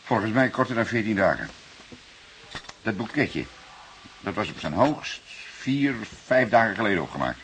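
An actor with a Netherlands Dutch accent playing a seasoned, wry, fatherly older police detective, speaking deliberately and a little ornately, as if lecturing, in a radio drama. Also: lowish voice, sing-song. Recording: hissy old radio play recording.

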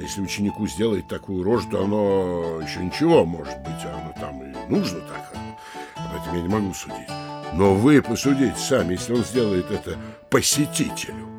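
An actor playing a pompous, anxious middle-aged town mayor in a comedy audio drama, officious and emphatic, with a gravelly, husky voice. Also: male voice, deep voice, full character voice. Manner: officious, lecturing, theatrically emphatic with pauses.

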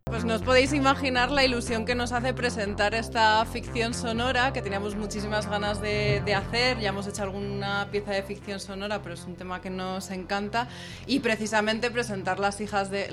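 A woman's voice: mid-range voice, steady tone, fairly quick, music in the background. A woman co-presenting audio fiction in a conversational way at a live event.

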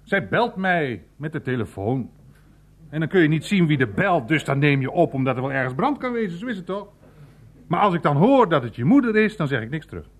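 A man's voice: on the high side, very animated, brisk, muffled recording. A man playing a blunt, irreverent older uncle in a naturalistic radio play, grumbling and swearing as he rambles. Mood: wry, chatty.

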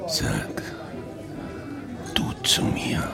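An actor playing a sentimental, self-important middle-aged boss, gushing and halting, in a radio drama. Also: male voice, in a whisper, over music. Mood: maudlin, wooing. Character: sentimental, self-important older male boss courting young women.

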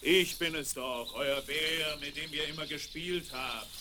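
A man voicing a big friendly bear who calls out in a drawn-out way in a children's fairy-tale radio play. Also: fairly high pitch, natural voice. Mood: gentle reassuring. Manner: slow, drawn-out calling. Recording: noisy 1970s vinyl record.

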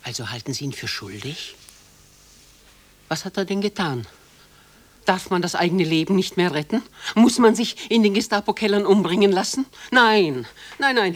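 An actress playing a proud, protective elderly mother in a German radio drama, formal and emphatic as she pleads for her son. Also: lowish voice. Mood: indignant. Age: older.

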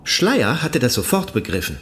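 Animated, probing debater. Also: male voice, medium pitch, normal speed. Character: calm, probing modern debater. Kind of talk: German radio play acting.

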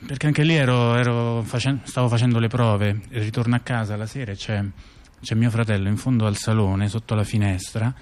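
A man playing a reflective intellectual in a radio theatre dialogue, recounting events and memories in a halting, conversational way with repeated words. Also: medium pitch, fairly even intonation, a little mellow, lightly acted. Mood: grave, reminiscing. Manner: halting, repeats words and restarts while recollecting. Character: reflective male intellectual recalling political events. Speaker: performer in a two-person radio theatre dialogue.

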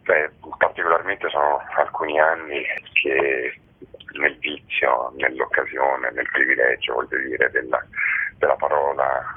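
Very deep man on the phone. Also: level tone, breathy edge. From Rome, Italy. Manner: halting, measured, with pensive pauses. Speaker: theatre director and actor, interviewed guest. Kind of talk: reflective radio interview answers. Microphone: phone call. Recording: tinny telephone line.

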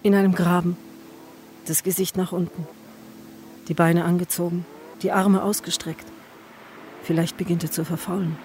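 A woman's voice: lowish voice, level tone, moderate pace, long pauses, darkish tone, a little hiss or hum, lightly acted. An actress playing a grieving woman in a radio drama, waiting for her missing man and narrating inwardly in sparse, fragmented phrases. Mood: bleak, numb. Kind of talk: German radio drama, literary monologue. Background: music bed.

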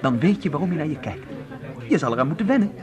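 A man's voice: fairly high pitch, quick pace. An actor in a Dutch radio play playing an affectionate, teasing older brother who looks out for his teenage sister. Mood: fond, teasing. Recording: radio play with lively crowd behind.

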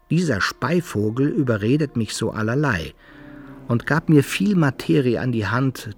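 A wry storyteller looking back on his follies in a radio drama. His pitch moves in lively swings, with violin music clearly behind him. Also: heavily acted. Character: wry man recounting his foolish youthful adventures. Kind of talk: scripted first-person narration in a radio play.

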